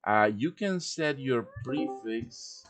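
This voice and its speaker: A man with a medium-pitched voice leads a live software tutorial. He talks informally, walking through each step in order and adding casual asides as he goes. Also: animated, takes it slowly. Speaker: tutorial presenter. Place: live-streamed voice chat with screen share.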